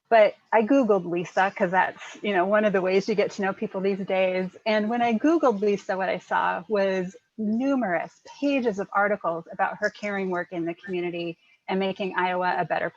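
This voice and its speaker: A woman's voice: mid-range voice, dry recording, highs cut off. Upbeat woman moderator on a dry call. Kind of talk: introducing panelists. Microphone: video call.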